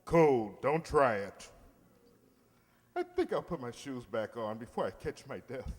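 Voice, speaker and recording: A man's voice: mid-range voice, expressive, unhurried. A man playing a pompous, sentimental middle-aged uncle in love, with a theatrical, hesitant, blustering delivery in old-time radio comedy style. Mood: sheepish, fond. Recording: echoey hall with long reverb.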